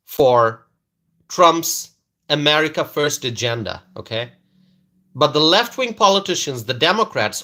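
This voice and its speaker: A man's voice: a little high, bright, forceful tone. A man from Bangladesh, hosting a political commentary live stream, repeating his points and tagging them with "okay?", greeting viewers by name. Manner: repetitive, keeps adding "okay?" tag questions; hesitant fillers and restarts, greets viewers by name.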